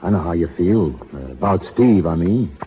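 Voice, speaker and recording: An actor playing Shorty, a loyal, gruff cowhand who talks in a plain drawl. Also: male voice, low-pitched, lively intonation, normal speed. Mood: gentle. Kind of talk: 1930s radio acting. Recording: tinny 1930s radio broadcast, faint hiss.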